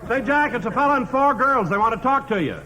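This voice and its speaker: A man's voice: very high voice, animated, fast talker, pushed and bright. A man as the announcer and straight man in a 1950s radio comedy, hearty and agreeable. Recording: dull old radio broadcast.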